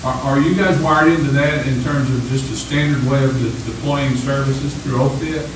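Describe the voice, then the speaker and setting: A man in a conference audience with a booming voice asking a question. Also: fairly even intonation, moderate pace, noisy background. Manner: loud, booming. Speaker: audience member. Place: conference room. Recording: distant in an echoey room.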